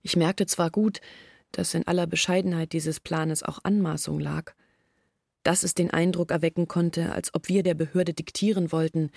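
An actress playing an earnest woman who confesses her family's failures in a reflective, measured monologue from a literary radio play. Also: sits low, gravelly, darkish tone, no room sound, clean studio sound. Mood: confessional, resolute.

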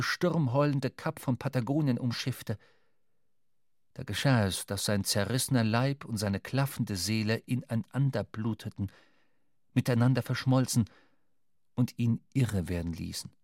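A man narrating a literary adventure audio drama in a measured, intense, dramatic way. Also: medium pitch, darkish tone, dry recording, clean studio sound.

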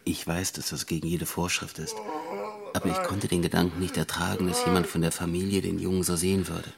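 Very low, flat-toned inspector. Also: male voice, fairly quick, creaky, over a noisy crowd. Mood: subdued, apologetic. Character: male police inspector, deferential, compassionate subordinate. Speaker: actor in a radio crime drama. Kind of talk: naturalistic German radio drama acting.

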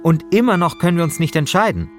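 A man's voice: fairly high pitch, expressive, fast talker. A man narrating a scripted first-person story as podcast host, in speech with a wry, dramatizing manner. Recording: music behind the voice. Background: music.

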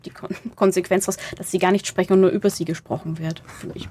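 A woman's voice: lowish voice, flat delivery, fast, mellow, slight background noise. A woman interviewed as an author, explaining analytically in a hesitant, searching way. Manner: hesitant, searching, thinking aloud.

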